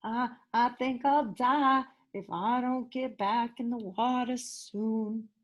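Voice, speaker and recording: Huge male storybook whale, acted by a woman, big-hearted, helpless, halting, heartfelt, unhurried, fairly high-pitched. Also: steady tone, dull audio. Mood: desperate, pleading.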